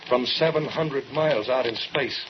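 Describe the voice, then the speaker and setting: A man's voice: medium pitch, normal speed, natural voice. Man acting an insistent, reproachful colleague, noisy old radio. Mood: grave, urgent. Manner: insistent, pressing, dramatic. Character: earnest, reproachful male colleague of a scientist. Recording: muffled, noisy vintage radio recording.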